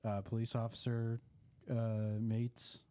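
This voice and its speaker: A man's voice: mid-range voice, little pitch movement, slow pace, dark tone. A man in loose casual podcast chat, talking flippantly and swearing freely. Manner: flippant, profane. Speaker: podcast host.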